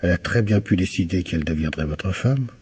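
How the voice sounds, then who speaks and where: An actor playing a weary married police inspector in a radio mystery, speaking colloquially and defensively. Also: male voice, deep voice, fairly quick, darkish tone, husky. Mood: measured. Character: gruff, weary married police inspector, evasive with his wife. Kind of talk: old French radio mystery acting.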